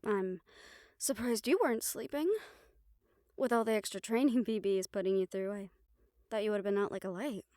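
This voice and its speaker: A woman's voice: medium pitch, a little fast, pauses often, warm tone, dry recording, clean studio sound, natural voice. A woman playing a caring, teasing siren-banshee in an intimate audio roleplay, speaking with playful affection. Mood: concerned, tender. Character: teasing, caring female siren-banshee, fond of her partner.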